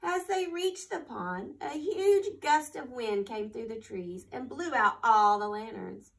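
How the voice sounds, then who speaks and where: A woman's voice: fairly high pitch, animated, takes it slowly, creaky, fairly bright tone, recorded from a distance, rough recording. A woman hosting a storytime for young children, reading aloud and talking to them in simple phrases and questions. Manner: simple instructions and questions addressed to small children. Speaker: children's library storytime host.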